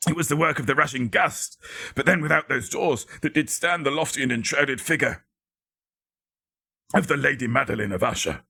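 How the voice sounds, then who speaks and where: A man narrating a gothic story as a dramatic reading, theatrical and measured, with deliberate phrasing. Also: medium pitch, gravelly, bright, forceful tone, slightly rough.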